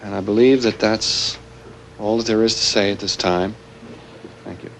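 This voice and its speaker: An actor playing a grave, earnest former weapons planner turned militant, delivering a deliberate televised statement in a realistic drama. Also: male voice, lowish voice, fairly even intonation, gravelly, fairly bright tone, slight background noise, low-quality recording. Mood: grave, threatening. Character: grave male former weapons planner turned anti-nuclear hostage-taker.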